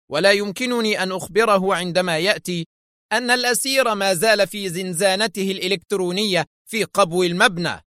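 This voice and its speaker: A man's voice: high-pitched, no room sound, studio quality, voice pushed up. A man voicing a stern, angry male agency director in a story, tense and agitated. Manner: sharp, agitated, protesting. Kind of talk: dramatised audio story dialogue.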